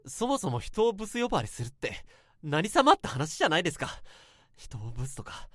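A man's voice: fairly high pitch, sing-song, fairly quick, fairly bright tone, no echo, clean studio sound. An actor playing an earnest, self-reproaching young man who confesses his regrets in an emotional radio drama monologue. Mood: angry, self-reproaching. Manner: emotional first-person confessing, swinging into outbursts.

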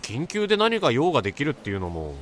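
A man playing a puzzled, concerned junior co-worker in a radio drama, with a polite, inquisitive and expressive delivery. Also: mid-range voice, fast. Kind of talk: conversational radio drama acting.

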